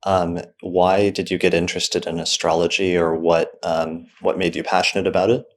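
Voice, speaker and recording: A man's voice: low-pitched, little pitch movement, moderate pace. A man hosting a podcast, asking brief, friendly interview questions with some fillers. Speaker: podcast host.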